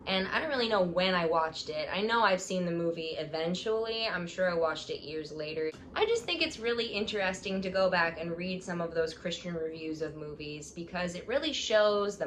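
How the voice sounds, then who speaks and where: A young woman vlogging in a casual, sarcastic way, reading out a review and mocking it with quick asides. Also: fairly low pitch, fairly bright tone, a little hiss or hum.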